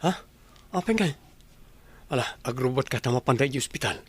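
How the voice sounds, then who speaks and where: A man's voice: mid-range voice, expressive, a little fast, slightly rough. A man acting as a caring, earnest young husband in an Ilocano radio drama, with a Filipino Ilocano accent. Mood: concerned.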